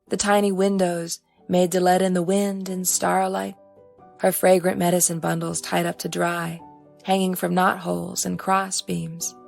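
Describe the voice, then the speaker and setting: A woman reading her own fantasy story aloud as expressive audiobook narration. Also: lowish voice, creaky, no room sound.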